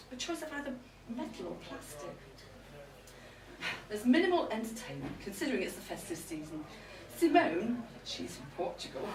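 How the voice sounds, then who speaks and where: A woman's voice: mid-range voice, natural voice. A woman playing an irritable, aggrieved middle-aged wife in a live comic monologue, complaining indignantly. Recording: roomy live event, distant mic.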